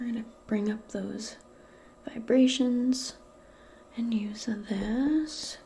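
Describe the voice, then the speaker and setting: Female, slow soft-spoken ASMR role play, breathy half-whisper, gentle casual personal attention, audible background hiss. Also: a little high. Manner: gentle, casual, hesitant chatter aimed closely at the listener. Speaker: ASMR performer role-playing as a modern witch.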